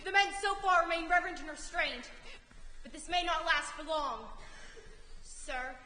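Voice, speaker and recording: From an echoey hall with faint noise, a woman plays a dutiful army messenger. The voice is very high and bright, announcing in formal, urgent stage manner. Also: normal speed. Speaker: student actor in a stage play. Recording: echoey noisy theatre hall, distant.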